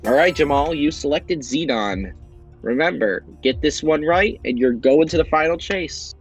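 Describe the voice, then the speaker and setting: Upbeat quiz host with a higher voice. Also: male voice, expressive, slightly rough, faint music behind. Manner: upbeat, drawn-out question reading with dramatic pauses. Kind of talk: hosting a voiced game show on a video game platform.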